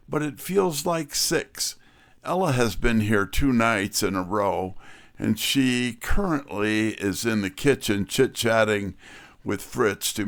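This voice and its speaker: An actor playing a wry, wisecracking detective's legman, delivering scripted mystery narration and dialogue in a folksy, unhurried way. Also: lowish voice, vocal fry, dry recording, heavily acted. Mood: sardonic.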